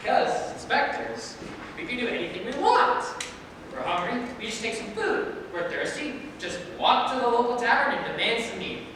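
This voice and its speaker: A woman's voice: fairly high pitch, normal speed, close to an everyday voice. A woman playing a dim, lazy, boastful palace guard who rambles and gossips in a stage play reading. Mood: smug. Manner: rambling, excitable, forgetful of names. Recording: very echoey hall from far off, noisy.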